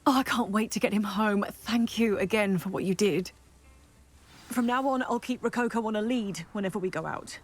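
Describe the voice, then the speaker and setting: A woman's voice: mid-range voice, normal speed, faint music behind, lightly acted. A woman playing a doting, fretful pet owner in a video game, with a gushing, sentimental manner. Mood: doting, grateful. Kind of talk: video game character dialogue.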